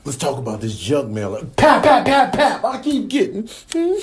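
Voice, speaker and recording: Man doing a quizzical oddball, wildly swinging pitch. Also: wildly swooping pitch, normal speed, slight background noise. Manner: clipped questions, popping sound effects.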